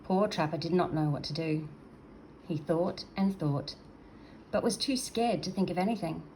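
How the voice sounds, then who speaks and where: A woman's voice: fairly low pitch, normal speed, mellow, poor audio. An older woman storyteller reading a children's story aloud expressively, in a grandmotherly manner. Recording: noticeable background noise.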